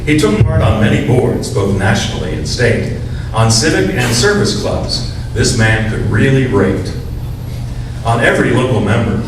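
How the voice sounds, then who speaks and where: A man recites scripted rhyming verse in a medium-pitched voice. He is heard from well off the microphone in a very reverberant space, with clear noise in the recording. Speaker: reader in a church reader's theater. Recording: echoey hall, distant mic, noisy.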